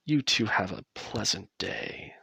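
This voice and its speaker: A man playing a tall, coolly polite asylum doctor in an audio roleplay, soft-voiced and measured, with veiled menace. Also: sits low, huge pitch swings, vocal fry, breathy edge, dry recording, heavily acted.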